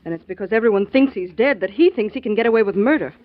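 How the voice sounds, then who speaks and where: A woman's voice: mid-range voice, lively intonation, fast talker, close to an everyday voice. A woman playing an anxious young sister. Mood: urgent. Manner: earnest, pleading. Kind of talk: 1940s radio acting. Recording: muffled old radio recording.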